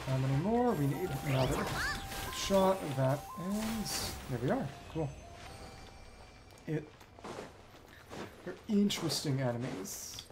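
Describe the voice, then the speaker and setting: A woman's voice: deep voice, expressive, slow pace, pauses often. A woman streamer giving casual live gameplay commentary, half muttering to herself with mild exclamations. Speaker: game streamer. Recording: loud game music behind voice. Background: loud game music.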